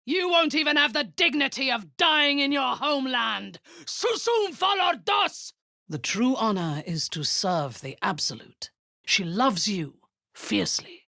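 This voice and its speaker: A woman's voice: high-pitched, animated, a little slow, creaky, sharp tone, no echo, clean studio sound, heavily acted. An actress voicing a cruel, zealous female warlord in a video game, giving orders in a cold, commanding tone with hissed half-whispers. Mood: menacing.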